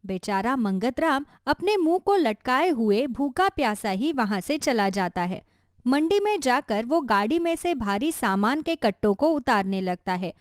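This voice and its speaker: A woman's voice: on the high side, darkish tone, no echo, clean studio sound. A woman reading scripted narration for a cartoon story.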